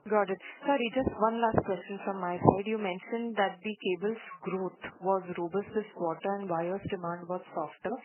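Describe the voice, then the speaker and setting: A woman's voice: mid-range voice, steady tone, normal speed, hardly any pauses. A woman asking questions as a financial analyst on an earnings call. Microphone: telephone. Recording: tinny phone line with faint noise.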